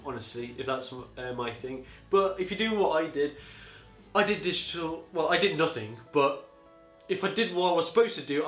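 An eighteen-year-old man giving rambling, casual advice to camera from a living-room sofa, his voice going a bit hoarse. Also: medium pitch, fairly bright tone, echoey room. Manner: rambling, chatty, peppered with "you know". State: voice getting hoarse. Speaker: student vlogger. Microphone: camera on a tripod across the room.

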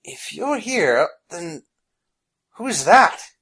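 A narrator voicing a bossy, easily flustered gentleman adventurer in a storybook, pompous and blustering. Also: medium pitch, big pitch swings, slow pace, breathy edge, pitched above the performer's own voice, exaggerated voice. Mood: nervous.

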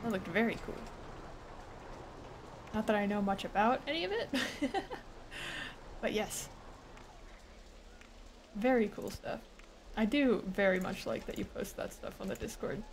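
A woman livestreaming a game, chatting casually, laughing often and swearing freely, her voice a little hoarse. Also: medium pitch, expressive, unhurried, pauses often. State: slightly hoarse. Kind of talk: casual gameplay chat. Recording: noisy home stream recording.

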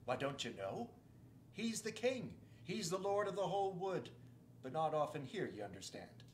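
A man reading aloud and voicing a folksy, earnest male talking beaver, speaking loudly and with emphasis in a roomy, echoey space. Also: on the high side, very animated, normal speed, a little hiss or hum, voice pushed up. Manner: rustic, loud and emphatic. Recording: roomy echo.

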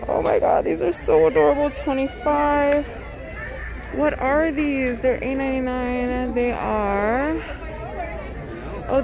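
A woman vlogging as she browses a large store, gushing excitedly over cute items and reading out prices. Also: high voice. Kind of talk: casual shopping vlog. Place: large indoor retail store.

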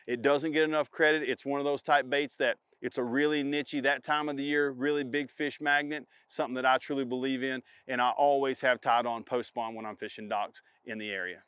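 A middle-aged man with a smooth, resonant voice at an ordinary pitch, speaking with confident emphasis and doubling up his key words. The sound is dry and close despite the outdoor setting, like a lapel mic. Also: fairly bright tone, no echo. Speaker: pro bass angler. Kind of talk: instructional product demo to camera. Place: outdoors in a spring woodland.

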